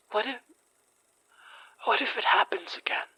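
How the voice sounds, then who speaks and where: An actress playing a bewildered, frightened survivor in an audio horror drama, halting and fragile, with a husky, breathy voice. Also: female voice, medium pitch, bright, forceful tone, no room sound, exaggerated voice, rough recording. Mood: anxious, pleading. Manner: halting, fragile, near-whispering. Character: shaken, bewildered female survivor of a supernatural attack.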